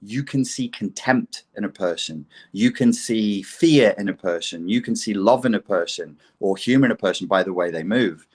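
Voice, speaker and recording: A man's voice: lowish voice, moderate pace, hardly any pauses, slightly rough. A man talking bluntly and swearing freely in a casual podcast chat, often mocking himself. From England.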